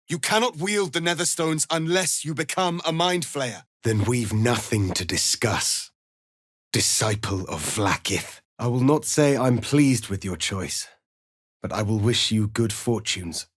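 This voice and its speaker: A man's voice: medium pitch, animated, slow, fairly bright tone. A man playing a proud, embittered alien warrior prince in a fantasy video game, with grave, formal, imperious phrasing. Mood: commanding, then cold, disdainful.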